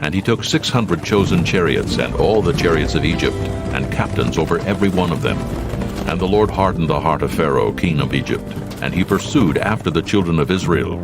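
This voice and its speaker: A man narrating scripture from a script in a solemn, measured manner. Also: deep voice, expressive, loud music behind the voice.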